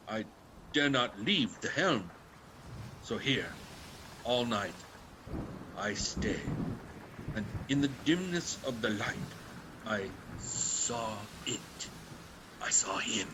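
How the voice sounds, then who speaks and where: A man's voice: mid-range voice, sing-song, slow pace, long pauses. A man playing a grim, dutiful and frightened sea captain in a radio play, narrating in terse phrases. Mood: despairing, resolute. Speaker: actor. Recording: faint background noise.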